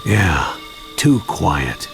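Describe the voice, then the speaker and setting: Seasoned, steady older mentor. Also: male voice, low voice, extremely slow, vocal fry, over loud music, full character voice. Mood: urgent, resolute. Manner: earnest, authoritative. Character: seasoned, steady older male monster-fighting grandfather. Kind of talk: video game cartoon acting.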